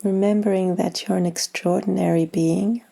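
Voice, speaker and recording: A woman leading a guided yoga nidra meditation in a soft, gentle, unhurried way, with long pauses and phrases that trail toward breathiness. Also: medium pitch, steady tone, vocal fry.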